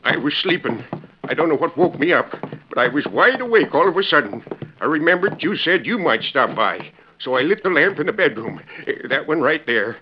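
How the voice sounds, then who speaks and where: An actor playing an excitable, frightened old rancher in folksy rural speech, in an old radio western. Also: male voice, fairly high pitch, a little fast. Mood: anxious. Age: older. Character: excitable, frightened old rancher who has been robbed. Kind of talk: 1940s radio western acting. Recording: dull, noisy old radio recording with clicks.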